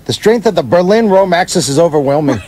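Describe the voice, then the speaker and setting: Man playing a gruff, cantankerous screenwriter. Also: fairly high pitch, lively intonation, fast talker, darkish tone. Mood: heated, combative. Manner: gruff, profane, combative. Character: cantankerous, boozy middle-aged screenwriter, bitter and argumentative. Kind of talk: radio play acting.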